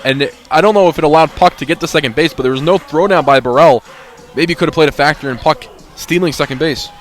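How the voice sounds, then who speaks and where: Male color analyst, quick delivery, conversational live sports commentary. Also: a little high.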